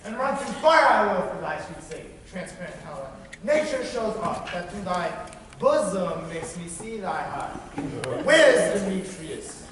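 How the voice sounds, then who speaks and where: A man's voice: high voice, animated, normal speed, a bit bright, slight background noise. A man playing a fickle, passionate young lover in a stage play, declaiming verse with big swings in volume. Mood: ardent. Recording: echoey hall from far off.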